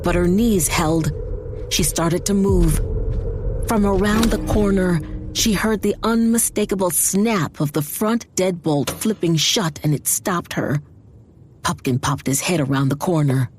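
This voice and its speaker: A woman's voice: lowish voice, measured pace, noisy background. A woman giving scripted, dramatic narration for a horror audiobook. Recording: music behind the voice.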